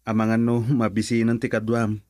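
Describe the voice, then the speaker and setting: A man's voice: fairly low pitch, monotone, a little fast, a little mellow, dry recording, lightly acted. A man with a Filipino accent acting in a radio drama as an earnest son in love, eager to marry. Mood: affectionate, teasing. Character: adult son in love, eager to marry, reassuring his mother.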